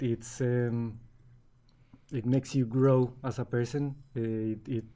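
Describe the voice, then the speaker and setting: A man's voice: mid-range voice, warm tone. A man in his forties from Colombia with a Colombian Spanish accent, a guest in a casual interview who often restarts his words. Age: 40s.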